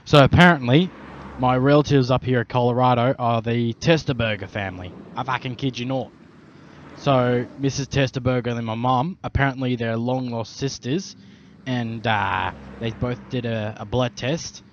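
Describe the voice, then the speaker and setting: A man's voice: mid-range voice, fairly even intonation, moderate pace. A young man with an Australian accent, swearing heavily and rambling through an in-character story. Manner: heavy swearing, slangy, rambling. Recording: dull lo-fi recording, faint traffic hum.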